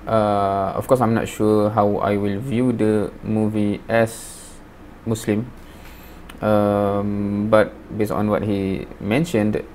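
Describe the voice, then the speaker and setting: Flat-voiced man hosting a reaction video. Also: lowish voice, slow, a little hiss or hum. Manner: hesitant, many fillers, flat delivery. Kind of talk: casual reaction commentary.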